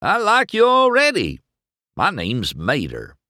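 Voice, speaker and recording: A rusty old tow truck with a big rural Southern drawl. He is friendly, none too bright and folksy, his pitch leaping up and swinging widely in a dry, close storybook recording. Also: huge pitch swings, measured pace, dry recording, heavily acted. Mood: friendly, amused. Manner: folksy, eager, singsong. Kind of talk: audiobook character voice.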